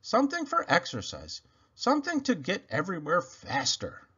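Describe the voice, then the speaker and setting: A man's voice: sits high, wildly swooping pitch, normal speed, sharp tone, slightly rough, muffled recording, voice pushed up, exaggerated voice. A man voicing an eager, easily overwhelmed male character in a children's storybook read-aloud. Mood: eager, wondering.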